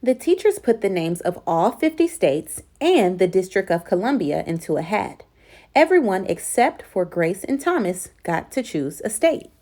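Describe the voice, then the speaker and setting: A woman reading a picture book aloud as an expressive, deliberate storyteller for young listeners. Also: mid-range voice. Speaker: children's story reader.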